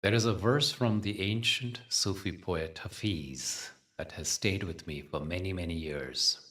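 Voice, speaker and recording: A man with a very deep voice teaches a guided meditation. He speaks very slowly and soothingly, leaving long, measured pauses. The voice sits at some distance from the microphone. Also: bright, forceful tone.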